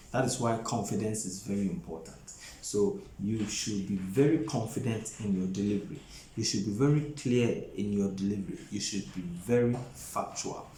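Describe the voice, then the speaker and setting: A man in his thirties lecturing as an exam preparation tutor, speaking deliberately and repeating phrases for emphasis. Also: fairly low pitch, darkish tone. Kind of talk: instructional lecture to camera. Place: small plain indoor office. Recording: roomy echo, faint background noise.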